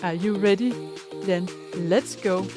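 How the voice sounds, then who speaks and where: A woman's voice: mid-range voice, slow, over music. A woman in her fifties from Denmark with a Danish accent, hosting her podcast as a life coach and speaking straight to the listener in an upbeat, encouraging way. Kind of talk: scripted podcast monologue.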